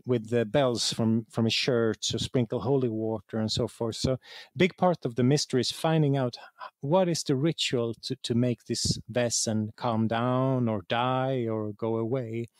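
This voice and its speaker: Male interview guest, mid-range voice, halting and self-correcting, very dry recording. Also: medium pitch, darkish tone, no room sound. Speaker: guest, game writer. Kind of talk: conversational interview explanation.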